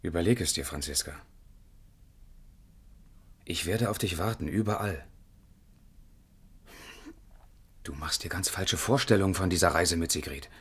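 A man's voice: low-pitched, normal speed, pauses often, fairly bright tone, breathy edge, big-room sound, full character voice. A man acting as a brooding, evasive lover and narrator in a 1980s German radio play. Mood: evasive, pleading. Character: evasive adult male lover, pleading, alternately dry narrator.

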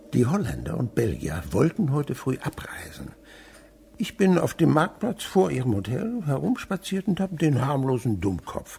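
A man's voice: mid-range voice, vocal fry, slight background noise, exaggerated voice. An actor playing a sly, gleeful old winegrower and domineering father in a radio drama.

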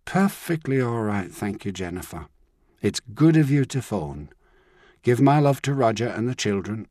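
A man voicing a calm older woman. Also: fairly low pitch, no room sound, clean studio sound, voice pushed up. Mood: composed. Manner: calm, measured, reassuring. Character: older woman, calm, composed, firm grandmother.

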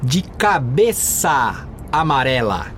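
A woman's voice: rumbling low, very animated, slow, heavily acted. An actress playing an insistent woman in a radio drama.

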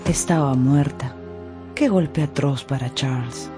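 A woman narrator telling a scripted story for a radio drama in an expressive, theatrical way. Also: a bass voice, slow pace. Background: faint music.

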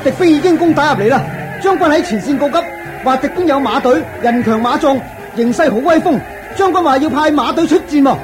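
A man's voice: very high-pitched, lively intonation, fairly quick, poor audio. A man playing an eager palace guard who hurries in with news, in a radio drama. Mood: alarmed. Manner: breathless, reporting, alarmed. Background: music.

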